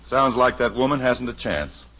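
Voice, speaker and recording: An actor playing a kindly middle-aged insurance man and father in a 1950s radio sitcom, earnest and gently lecturing. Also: mid-range voice, expressive, normal speed, very noisy, close to an everyday voice. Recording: dull old radio broadcast recording.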